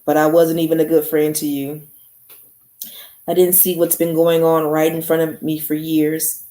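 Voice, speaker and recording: A woman reading the lines of a remorseful young man who makes a halting, earnest apology to a friend, in a roomy recording. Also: low-pitched, little pitch movement, mellow, voice pushed down, close to an everyday voice. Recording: roomy echo.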